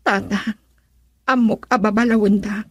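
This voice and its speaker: A woman's voice: mid-range voice, fairly even intonation, moderate pace, no room sound, natural voice. A woman playing a dutiful, tearful young wife and mother in a radio drama, with an Ilocano Filipino accent. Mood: pleading, sorrowful. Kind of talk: melodramatic radio drama acting.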